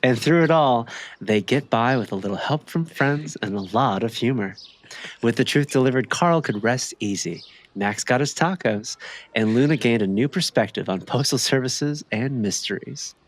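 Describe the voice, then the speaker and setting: A storybook narrator played by a man delivers a scripted, light comic mystery tale. The voice sits at a medium pitch and is recorded dry and close. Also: animated, moderate pace, no echo, close to an everyday voice. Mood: wrapping up, upbeat.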